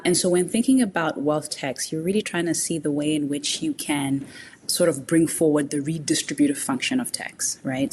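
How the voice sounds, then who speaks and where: A woman's voice: low-pitched, a little hiss or hum. A woman from Zimbabwe, a native Shona speaker, answering interview questions in a thoughtful, explanatory way with frequent fillers. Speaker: guest, tax justice executive director.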